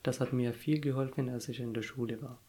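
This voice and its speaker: Halting young man, Arabic native. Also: medium pitch, fairly even intonation, warm tone. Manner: halting, searching for words, frequent fillers. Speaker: interviewee. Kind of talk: casual personal story.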